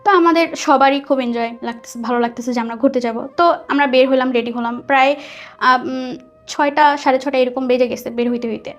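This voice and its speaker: A woman's voice: high-pitched, fairly even intonation, brisk. A young woman with a Bangladeshi accent telling a personal story conversationally as a guest, chatty and mixing in English words. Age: young adult. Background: soft background music.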